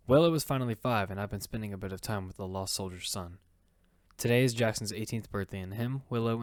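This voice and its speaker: A man acts as a gentle father telling his own story in an audio drama. His voice sits on the low side. He speaks plainly and earnestly, with a sentimental streak. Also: no room sound, clean studio sound, close to an everyday voice. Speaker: narrator and lead character. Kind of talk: first-person narration in amateur audio fiction.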